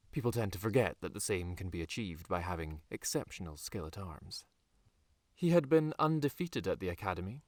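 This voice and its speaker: A man reading a dark fantasy audiobook in a measured, dramatic storytelling manner with deliberate pauses. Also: fairly low pitch, dry recording, clean studio sound. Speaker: author reading his own novel. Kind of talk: scripted audiobook narration.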